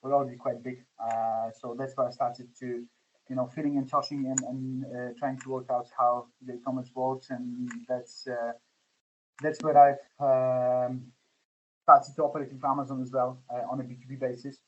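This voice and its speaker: A man's voice: mid-range voice, level tone, normal speed, highs cut off. A man in his forties with a Polish accent, a startup founder answering interview questions and hesitating often with fillers. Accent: Polish, with some Scottish influence. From Poland. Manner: hesitant, many fillers and restarts. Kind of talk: podcast interview.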